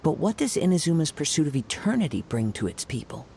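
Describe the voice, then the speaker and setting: An actor playing a calm, poetic young male wandering swordsman in a video game, speaking in a composed, measured, formal way. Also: a little high, a little hiss or hum. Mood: reflective.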